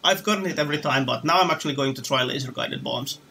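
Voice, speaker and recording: Bright-voiced man rambling while flying. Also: mid-range voice, fast talker, vocal fry, slight background noise. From Romania. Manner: rambling, hesitant, many restarts. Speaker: flight sim streamer. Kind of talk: casual live-stream chat while flying.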